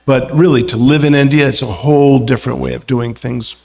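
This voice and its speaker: A man's voice: mid-range voice, fairly even intonation, a little fast, gravelly, a little mellow. An American man speaking as a religious teacher, telling anecdotes in an informal, conversational talk full of asides. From United States. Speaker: guest speaker, religious teacher and university lecturer.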